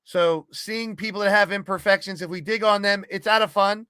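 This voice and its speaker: A man's voice: high voice, steady tone, moderate pace, pushed and bright, smooth, dry recording. A man hosting a gaming podcast, talking casually to his community in a blunt, rambling, sweary way. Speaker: podcast host and wrestling game streamer. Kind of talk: casual monologue to an online community.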